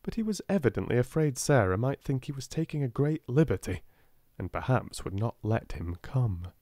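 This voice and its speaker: A man with a British accent reading a storybook aloud in a soft-spoken, gentle, expressive way. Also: mid-range voice, normal speed, no echo, studio quality. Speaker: audiobook narrator.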